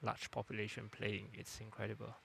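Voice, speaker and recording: A man giving calm, unhurried live commentary on a lawn bowls match. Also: on the low side, gravelly, a little hiss or hum. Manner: calm, unhurried, plain-spoken.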